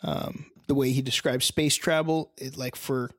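A man's voice: mid-range voice, steady tone, unhurried, fairly bright tone, no room sound, clean studio sound. A young man co-hosting a casual podcast, rambling a little with many ums and uhs. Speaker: podcast co-host. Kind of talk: casual chat.

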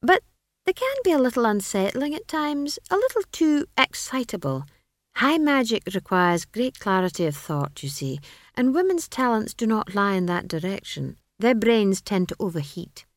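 A woman voicing a pompous, genially condescending old male wizard in an audiobook story, with airy, unhurried, patronising speech. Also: on the high side, sing-song, dry recording, clean studio sound, pitched above the performer's own voice.

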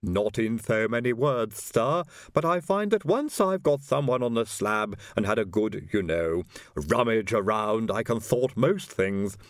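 A man voicing an odd male servant surgeon who speaks slowly and calmly. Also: on the low side, dry recording, clean studio sound. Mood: matter-of-fact. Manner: slow, drawn-out, unbothered.

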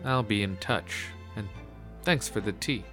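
A man's voice: on the low side, a little slow, close to an everyday voice. A man playing a cynical, wisecracking private detective in a 1930s noir audio drama, dry and laconic. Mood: dry, businesslike. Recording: music under the voice.

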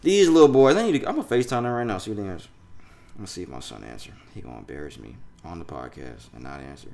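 A young man from Jamaica hosts a loose, profane podcast chat. His pitch climbs and drops freely from one line to the next, and he flips between loud outbursts and near mumbles. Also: animated, racing, vocal fry. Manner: loose, profane, laughing, swings loud and quiet. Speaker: podcast host. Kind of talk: casual podcast chat, reacting to a song.

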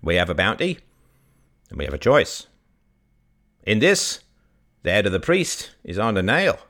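A man voicing a blunt old rustic farmer who speaks in a folksy, deliberate way. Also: on the low side, big pitch swings, a bit bright. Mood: gruff persuasion.

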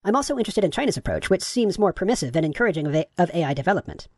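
A man reading the lines of a courteous, gender-neutral AI chatbot, with his voice pitch-shifted upward. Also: very animated, racing, no room sound, clean studio sound, full character voice. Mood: polite, agreeable. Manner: courteous, affirming, asks follow-up questions. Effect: pitch raised.

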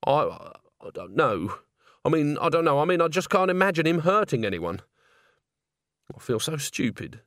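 Man voicing an anxious, hesitant young woman, pitched up. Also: sing-song, normal speed, long pauses, a bit bright, no echo, studio quality. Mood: embarrassed. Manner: hesitant, stammering. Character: anxious, earnest, self-doubting young woman.

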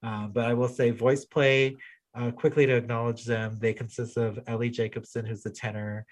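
A man's voice: medium pitch, level tone, moderate pace. Chatty man co-hosting a podcast. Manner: chatty, enthusiastic, laughs often, restarts sentences. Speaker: podcast co-host. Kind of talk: casual chat.

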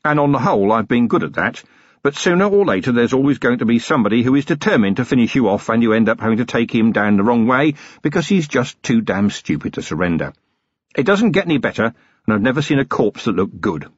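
A man voicing a seasoned male police commander who speaks in a measured, firm, dryly wry way. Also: medium pitch, very animated, breathy edge, no echo, dull audio.

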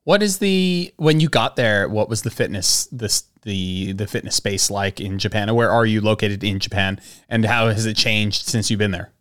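A man's voice: on the low side, moderate pace, few pauses, fairly bright tone, clean tone, dry recording, clean studio sound. A man hosting a casual podcast interview, upbeat and friendly as he asks his questions. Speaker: podcast host.

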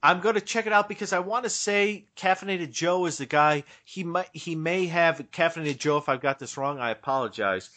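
A man's voice: fairly high pitch, brisk, hardly any pauses, sharp tone. A man co-hosting a casual podcast, slightly stuffed up, rambling and self-deprecating with frequent restarts. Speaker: podcast co-host.

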